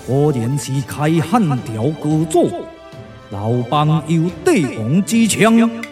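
A man's voice: fairly high pitch, sing-song, music in the background. A man with a Taiwanese accent playing a grave, courtly, emotional strategist in a glove-puppet radio drama, declaiming theatrically. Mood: solemn, courtly. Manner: declamatory, theatrical, drawn-out exclamations.

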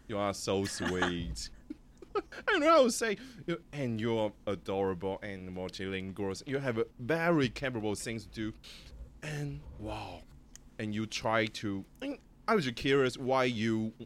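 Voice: mid-range voice, sing-song, slow pace. A speaker interviewing a podcaster in casual, broken English, exclaiming often. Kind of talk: casual chat.

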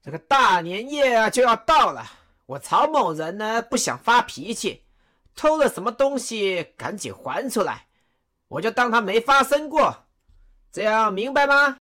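A woman's voice: fairly high pitch, sing-song, a little slow, sharp tone, exaggerated voice. A woman playing Cao Cao, a wry, menacing chancellor who lectures mockingly and makes sly threats. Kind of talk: radio drama acting.

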